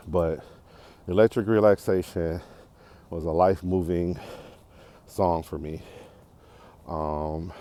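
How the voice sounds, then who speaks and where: An American man in his forties talking casually and unscripted as a podcast host, in a reflective, halting, rambling way while walking outdoors. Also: low voice, dark tone. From Detroit area, United States. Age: 40s. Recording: clearly noisy outdoor walking recording.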